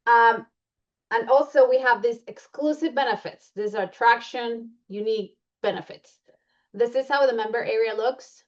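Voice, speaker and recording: A woman's voice: fairly high pitch, moderate pace. Colombian accent lady walking thru slides, lots of ums, kinda muffled lo-fi audio. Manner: chatty, many fillers and restarts. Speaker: membership presenter. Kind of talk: presentation walking through slides.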